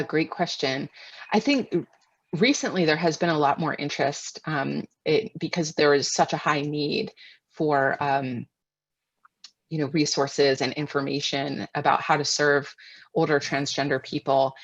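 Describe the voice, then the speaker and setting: Low-voiced American woman, muffled roomy audio. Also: fairly even intonation, unhurried. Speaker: guest expert answering webinar questions. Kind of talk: extemporaneous webinar question and answer.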